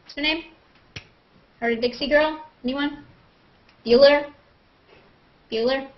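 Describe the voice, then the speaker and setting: A woman's voice: high voice, slow pace. A woman chatting casually and jokingly in slangy speech on a live group video call. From Canada. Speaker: host. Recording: dull, lo-fi video-call audio.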